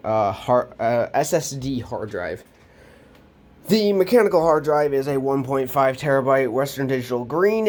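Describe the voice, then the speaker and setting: A teenage boy vlogging from his bedroom, nasal from a cold, rambling with lots of ums and restarts as he moves around the camera mic. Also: medium pitch. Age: teens. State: nasal, getting over a cold. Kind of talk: casual vlog.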